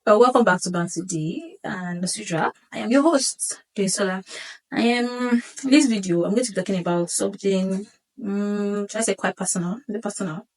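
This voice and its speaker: A woman's voice: mid-range voice, moderate pace, faint music behind, low-quality recording. Chatty young woman vlogging, seated. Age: 20s. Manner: chatty, rambling, with self-corrections and light laughs. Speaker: vlog host. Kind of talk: casual solo vlog monologue. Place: seated indoors.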